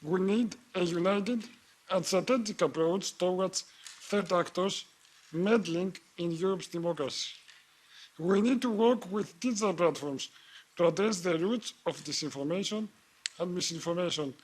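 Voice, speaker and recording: A man, a member of parliament, giving a formal statement and question at a committee hearing, measured with frequent fillers and restarts. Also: sits high, slightly rough.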